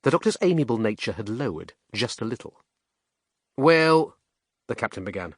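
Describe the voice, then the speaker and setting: A man narrating an audiobook at a brisk clip, and his pitch rises and falls very freely as he reads. The recording is dry and close, with no room sound at all. His delivery is expressive and scripted. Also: slightly rough, dry recording.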